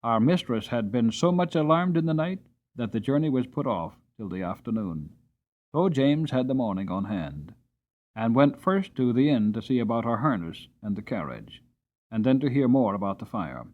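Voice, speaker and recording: A man's voice: medium pitch, moderate pace, narrow, tinny audio. Man giving a dramatic storybook reading. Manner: expressive, dramatic delivery. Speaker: audiobook narrator.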